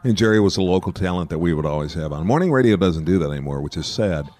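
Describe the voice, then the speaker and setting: A man's voice: sits low, lively intonation, fast talker, darkish tone, faint music behind. A middle-aged man hosting a podcast, chatting casually over a meal at a burger bar and telling stories with a dry, joking manner. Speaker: podcast host.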